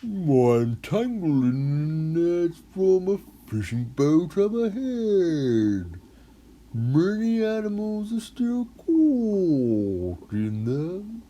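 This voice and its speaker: A man voicing a big, gentle whale in a children's storybook, with slow, drawn-out, sing-song delivery. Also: a little high, big pitch swings, dull audio, exaggerated voice. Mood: mournful, pleading. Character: big gentle male whale, sad but grateful.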